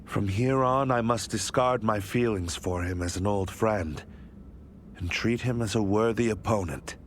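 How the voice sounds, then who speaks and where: A lowish male voice by an actor portrays a stern, ambitious warlord in a game cutscene. His delivery is formal, grave and commanding, with faint noise in the background. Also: measured pace, natural voice. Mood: grave, resolute. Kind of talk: dramatic video game cutscene acting.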